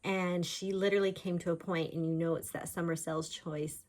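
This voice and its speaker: A woman author talking informally about books, in an animated storytelling way. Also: fairly low pitch, brisk. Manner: animated storyteller, voicing characters' thoughts in quotes. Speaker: author discussing own books. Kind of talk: chatty informal book talk.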